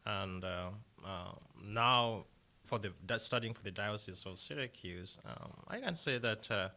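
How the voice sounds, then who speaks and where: A young man from Kenya with a Kenyan accent, a deacon answering interview questions in a halting way with many fillers and restarts. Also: lowish voice, pushed and bright.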